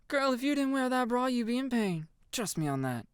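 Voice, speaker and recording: A woman's voice: fairly high pitch, sing-song, fairly quick, smooth, no room sound, clean studio sound, voice pushed up. A woman voicing a wry, teasing teenage girl who speaks in sardonic, murmured asides in a story. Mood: wry, unimpressed.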